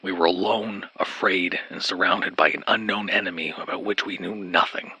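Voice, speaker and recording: Deep, husky, grim soldier played by a man. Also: fairly even intonation, bright, forceful tone, exaggerated voice, low-quality recording. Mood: grim, uneasy. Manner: grim, deliberate, formal storytelling. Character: frightened, doomed male soldier recounting horror in Alaska. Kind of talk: scripted first-person horror narration.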